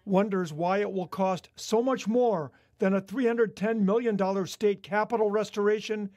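Middle-aged male field reporter, firm broadcast read, higher register, dry. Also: normal speed, dry recording. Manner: steady, emphatic reporter delivery. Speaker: chief political reporter. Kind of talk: live news report. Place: outdoors at night by a government building. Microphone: handheld station mic.

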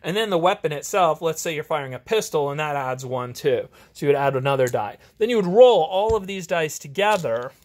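A man's voice: on the high side, animated, a little fast, few pauses, darkish tone. A man giving a casual, off-the-cuff game review as an amateur host, rambling, enthusiastic and self-deprecating. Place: home garage.

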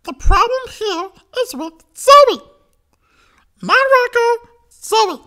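A man's voice: very high-pitched, lively intonation, slow pace, pushed and bright, voice pushed up, heavily acted. A man voicing a little indignant cartoon character who speaks in a mock-formal way.

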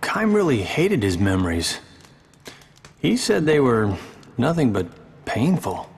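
An actor playing a gentle young male traveler in a game cutscene, hesitant, earnest and caring. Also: mid-range voice, big pitch swings, takes it slowly, vocal fry, slight background noise, exaggerated voice. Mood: earnest concern. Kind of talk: video game cutscene acting. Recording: long reverb, music behind.

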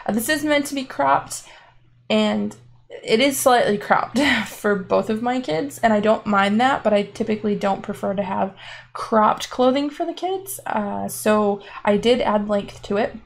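A woman's voice: medium pitch, a little slow, vocal fry. A woman in her twenties chatting casually as host of a knitting podcast, laughing often and filling gaps with ums, filmed from an armchair at home. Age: 20s. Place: living room armchair at home.

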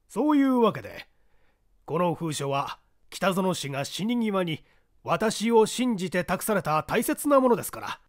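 A man's voice: high-pitched, voice pushed up. A man voicing an earnest, formal male letter writer in a mystery audiobook, polite, solemn and emphatic.

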